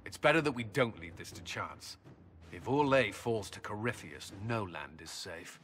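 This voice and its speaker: A forceful, crisp-edged low male voice, with slight noise around it. He is an earnest fantasy army commander. His delivery is firm, dutiful and matter-of-fact. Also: expressive, moderate pace. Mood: firm, serious. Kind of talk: dramatic game cutscene dialogue.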